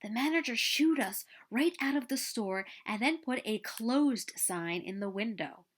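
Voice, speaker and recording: A woman reading a children's picture book aloud in an expressive storytelling style. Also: a little high, unhurried. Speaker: children's story reader at a library.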